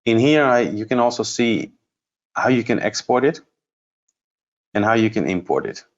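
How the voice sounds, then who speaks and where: A man giving an unscripted software demonstration as a trainer, explaining step by step and thinking aloud in a halting way. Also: medium pitch, expressive, dull audio. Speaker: trainer presenting a product course.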